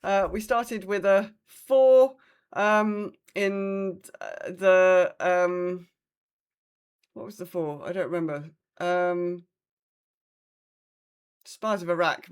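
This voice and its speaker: A woman co-hosts a podcast in a casual, conversational way. Her voice sits at a middle pitch as she recounts her gaming week, acting out reactions as she goes. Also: clean tone. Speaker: podcast host.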